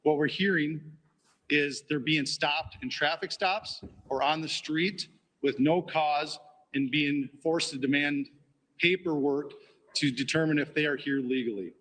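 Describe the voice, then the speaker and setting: A man, a police chief, reading a formal press statement in a measured, deliberate way. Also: fairly high pitch, fairly bright tone, rough recording. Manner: measured, deliberate official delivery.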